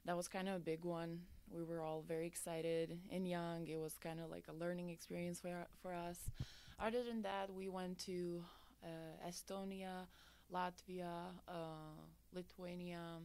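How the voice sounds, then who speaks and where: A young woman from Serbia with a Serbian accent, a student-athlete answering interview questions in a relaxed, hesitant way. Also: fairly low pitch, a little slow, clean tone. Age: young adult.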